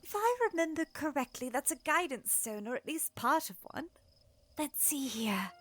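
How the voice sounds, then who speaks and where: A woman's voice: sits high, expressive, normal speed, a bit bright, dry recording, soft background music. A woman playing a proud, curious young princess in an audio drama, theatrically musing and exclaiming to herself.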